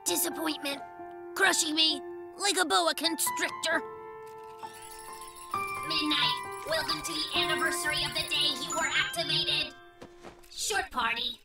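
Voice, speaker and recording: Squeaky, excitable little cartoon bear cub. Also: a little slow, vocal fry, long pauses. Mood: crushed disappointment. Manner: dramatic, whiny pleading, exaggerated. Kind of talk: children's cartoon voice acting. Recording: loud children's music behind.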